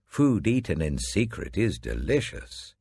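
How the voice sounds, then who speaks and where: British man voicing unruly temptress, raised husky voice. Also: on the low side, very animated, a little slow, a little mellow, dry recording, voice pushed up, heavily acted. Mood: enticing. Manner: enticing, luring. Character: Folly, an unruly, seductive woman luring passers-by.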